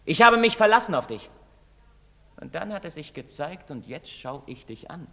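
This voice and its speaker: Young outcast son, bitter and intensely scornful, theatrical German drama delivery, very reverberant space. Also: male voice, on the high side, lively intonation, moderate pace, gravelly. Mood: bitter disappointment. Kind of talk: German stage drama acting. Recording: very reverberant hall or stage.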